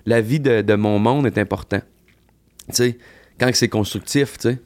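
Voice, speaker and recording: A man with a Québécois French accent, a country singer talking as a podcast guest in a relaxed, slangy way full of fillers and the odd swear. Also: on the low side, normal speed. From Quebec. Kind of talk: casual podcast chat.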